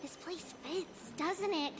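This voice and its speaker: An actress playing a gentle, playful teacher figure who speaks lightly. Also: female voice, very high-pitched, takes it slowly, background noise. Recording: game audio over loud music.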